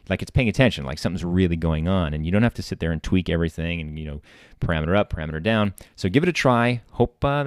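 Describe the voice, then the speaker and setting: A man hosting a casual software tutorial, talking informally and thinking aloud as he demonstrates. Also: no room sound, studio quality. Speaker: tutorial host. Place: screen-recording voice-over. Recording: loud electronic bass music behind. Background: dubstep bass and drum loops.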